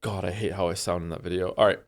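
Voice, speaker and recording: A man around forty talks through a live game stream, his voice a touch low and thick with congestion. He rambles in a low-key way, full of ums, restarts and mild jokes at his own expense. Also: fairly even intonation, quick pace. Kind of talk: casual live-stream chat.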